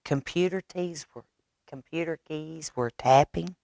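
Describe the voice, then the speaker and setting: A woman reading a picture book aloud to children in a dramatic, expressive way, drawing out words. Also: deep voice, gravelly, no echo, studio quality. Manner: dramatic, expressive, with drawn-out words and hushed asides. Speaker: library storyteller reading to children. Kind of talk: animated picture-book read-aloud with sound effects.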